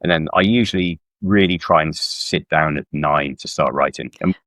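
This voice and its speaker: Very deep-voiced middle-aged man, dry sound. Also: normal speed, a bit bright, no room sound. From South Wales. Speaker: guest author. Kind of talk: casual conversational interview. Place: indoors at home on a video call.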